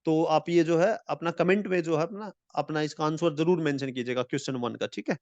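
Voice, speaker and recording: A man teaching an exam-prep lecture in a friendly way. He mixes in English and reads the English lines slowly. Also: a little high, a little mellow, no room sound, muffled recording. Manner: friendly, calls viewers friends.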